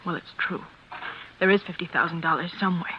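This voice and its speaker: A woman's voice: on the low side, steady tone, a little fast, close to an everyday voice. An actress playing an anxious young switchboard operator with an American accent, in 1940s radio style. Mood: frightened, confiding. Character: anxious, confiding young female office operator. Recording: muffled, faintly noisy vintage radio recording.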